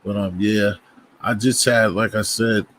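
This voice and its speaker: A man's voice: fairly low pitch, flat delivery, measured pace, a bit bright, a little hiss or hum. A man from Boston hosting his own livestream, chatting loosely with viewers and greeting them as they come in. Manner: loose, rambling talk to viewers, frequent restarts. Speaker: livestream host. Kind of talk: casual livestream chat.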